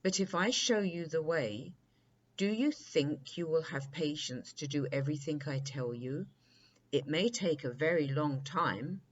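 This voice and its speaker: Woman voicing a brisk, chuckling daddy long legs. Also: sits low, animated, highs cut off, a little hiss or hum. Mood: matter-of-fact. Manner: brisk, matter-of-fact, instructing.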